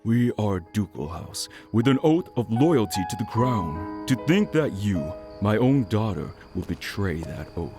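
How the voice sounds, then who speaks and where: Stern ducal father, played by a man, low and grave, formal anime delivery with expressive swings. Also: a little slow, hardly any pauses, music in the background. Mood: stern disappointment. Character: stern middle-aged duke, disappointed proud father. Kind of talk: anime fan dub acting.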